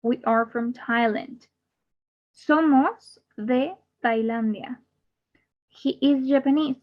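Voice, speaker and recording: A woman's voice: high-pitched, slow. A woman teaching an English grammar class, repeating each example and then translating it. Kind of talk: bilingual grammar lesson. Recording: muffled lo-fi audio.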